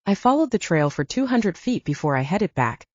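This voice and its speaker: Mellow woman narrator, animated pitch, dull audio. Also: moderate pace, no echo.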